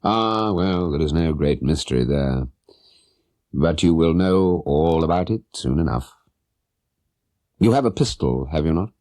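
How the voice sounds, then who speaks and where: This is a man performing a British gentleman detective from an audiobook story, coolly confident and urbane. His delivery is clipped and probing, with lively intonation and a dry, close sound. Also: rumbling low, unhurried, long pauses, no room sound, voice pushed down. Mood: cool, decisive. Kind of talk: audiobook character voice.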